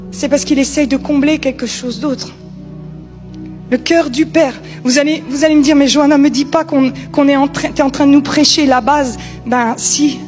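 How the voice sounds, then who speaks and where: High-voiced woman preaching informally, music behind. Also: darkish tone. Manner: conversational, emphatic, repeats key phrases. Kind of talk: informal sermon.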